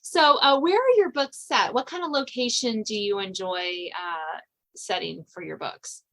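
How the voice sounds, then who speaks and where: Bright-voiced woman hosting a podcast. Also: mid-range voice, measured pace. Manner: laughs often, chatty. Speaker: podcast host. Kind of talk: casual interview chat.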